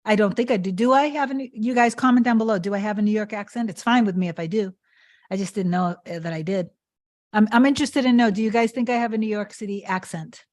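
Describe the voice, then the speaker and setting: A woman's voice: mid-range voice, quick pace, a little mellow. Earnest woman coaching on a live stream. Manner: repetitive, emphatic, earnest. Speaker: manifestation coach. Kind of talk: live-stream talk answering chat comments.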